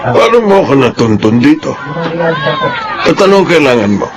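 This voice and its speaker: A man's voice: medium pitch, big pitch swings, moderate pace, a crowd in the background, noisy background. A man acting an impatient, dismissive middle-aged father, curt and brusque, in a Filipino radio drama. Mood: cold, suspicious. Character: callous, dismissive estranged father, gruff and impatient.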